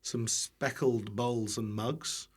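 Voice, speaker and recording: A man's voice: medium pitch, fairly even intonation, takes it slowly, husky. A British man chatting casually as a podcast guest, playful and self-deprecating, often rambling. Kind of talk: casual chat.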